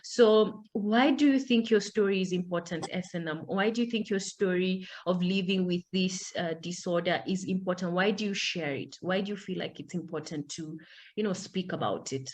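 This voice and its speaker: A woman's voice: on the low side, normal speed, a little mellow. A woman hosting an interview show, chatting casually and affirming her guest often over a video call. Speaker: podcast host.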